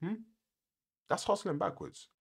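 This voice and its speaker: A man's voice: sits high, a little slow, vocal fry, slightly rough. A man hosting a reaction video, giving sarcastic, lecturing commentary full of rhetorical questions.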